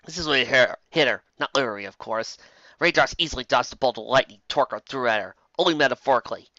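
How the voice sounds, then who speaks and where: A man reading fantasy fiction aloud as an expressive amateur storyteller, now and then stumbling over a word. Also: mid-range voice, fast, no room sound, highs cut off. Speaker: story narrator.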